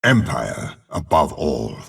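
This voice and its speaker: An actor playing a ruthless, imperious older warlord bound to a demon in a video game, with a rasping voice and a menacing, haughty delivery. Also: male voice, very animated, a bit bright, full character voice. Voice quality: very deep, gravelly, rasping. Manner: slow, measured, menacing, haughty. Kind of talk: dramatic video game character voice lines.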